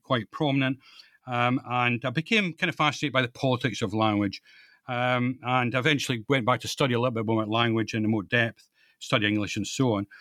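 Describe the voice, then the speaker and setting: A man's voice: medium pitch, fairly bright tone, no room sound, studio quality. An older man with a Scottish accent, an author talking about his book in an interview, often restarting and repeating words as he thinks. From Scotland. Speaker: author, guest. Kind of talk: conversational interview.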